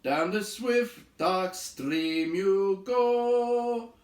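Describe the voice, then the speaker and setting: A man voicing merry elves in a storybook, singing an unaccompanied river song in slow, drawn-out rhyme. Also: sits high, pitched above the performer's own voice. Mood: merry, lilting. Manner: drawn-out, lilting rhymed delivery. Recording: echoey room.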